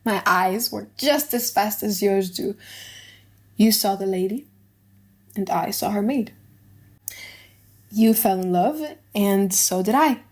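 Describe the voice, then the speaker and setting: A woman as a boisterous, talkative young gentleman. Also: mid-range voice, slow pace, long pauses. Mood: jovial, eager. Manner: chatty, bragging, jovial. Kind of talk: dramatized audiobook of a classic play.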